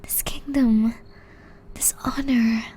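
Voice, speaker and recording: Soft, whispering gentle witch, played by a woman. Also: fairly high pitch, fairly even intonation, full character voice. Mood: wistful, tender. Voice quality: soft, breathy, largely whispered. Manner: slow, tender, earnest pleading. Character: gentle centuries-old banished forest witch, caring and wistful. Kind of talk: ASMR roleplay.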